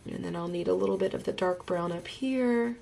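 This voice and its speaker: A woman's voice: fairly low pitch, brisk, gravelly, mellow. Congested woman narrating a coloring tutorial. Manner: enthusiastic, chatty, talks while drawing. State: congested, recovering from illness. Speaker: art tutorial host. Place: home craft desk.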